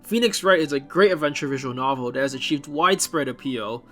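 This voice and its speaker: A man's voice: mid-range voice, a little fast, fairly bright tone. A man narrating a scripted video essay as its host, opinionated and given to wry asides, with music playing behind him. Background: background music.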